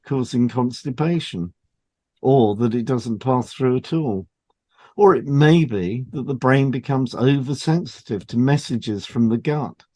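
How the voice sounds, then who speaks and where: A man with a mellow, relaxed voice and mid-range pitch reads scripted material as a podcast co-host. His delivery is measured and explanatory, suited to an educational programme.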